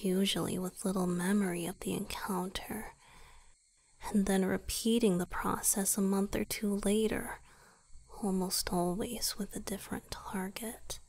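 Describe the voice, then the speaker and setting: A woman voicing a gentle, weary male vampire boyfriend in an intimate ASMR roleplay, soft and breathy, often whispering, explaining tenderly with hesitant pauses. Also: mid-range voice, flat delivery.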